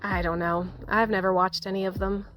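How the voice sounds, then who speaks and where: A medium-pitched female voice from an actress as a kind, level-headed small-town officer in an audio drama. She speaks in a gentle, measured, reassuring way. Faint music runs beneath her lines. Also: level tone, lightly acted. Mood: conversational. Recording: music under the voice.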